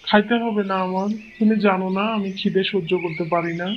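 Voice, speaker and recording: A man's voice: high-pitched, fairly even intonation, fairly quick, close to an everyday voice. A man voicing a patient, kindly old village father-in-law in a cartoon fairy tale, speaking in a reassuring, homely way. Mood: hungry, urging. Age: older. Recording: muffled lo-fi audio, clear background noise.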